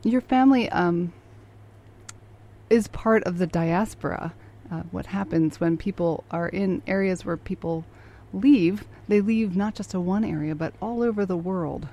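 A woman's voice: fairly low pitch, measured pace, mellow, lo-fi sound. A woman hosting an interview program, introducing and questioning her guest. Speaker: radio interview host.

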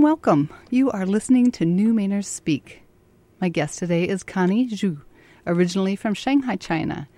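A woman hosting a community radio interview show, introducing her guest in a measured announcer delivery from the studio. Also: medium pitch, mellow, no room sound.

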